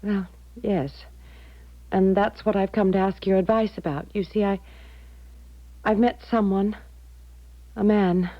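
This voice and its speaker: An actress as a young American woman in an old radio play, torn and easily flustered. Her voice sits at an ordinary female pitch, halting and stammering. The sound is dry and slightly dull, like a seventies broadcast. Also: fairly even intonation, dry recording, natural voice. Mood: anxious, confiding. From American Midwest. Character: hesitant, torn young engaged American woman seeking advice. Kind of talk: 1970s radio drama acting.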